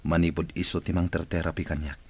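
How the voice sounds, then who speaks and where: A man as a deep, husky Ilocano boss. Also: little pitch movement, fast, darkish tone, full character voice. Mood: hopeful. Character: ailing male company boss, firm yet hopeful. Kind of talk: radio-style drama dialogue.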